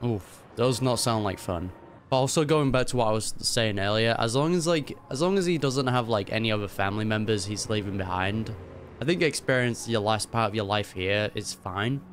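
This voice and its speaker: Low-voiced man reacting casually. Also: normal speed, slight background noise. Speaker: video game reactor.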